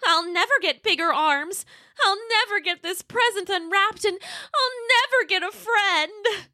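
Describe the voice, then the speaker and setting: Storybook: a high, sweet, polite girl T-Rex, childlike and eager, clear dry sound, voiced by a woman. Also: big pitch swings, unhurried, pushed and bright, no echo, heavily acted. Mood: despairing.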